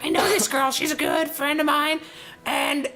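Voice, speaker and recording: A woman's voice: high voice, little pitch movement, normal speed, pushed and bright, soft background music. A woman playing a chattering little girl who tells tall tales, reading game dialogue in an earnest, breathless run-on way. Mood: conspiratorial, insistent. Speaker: let's play co-commentator.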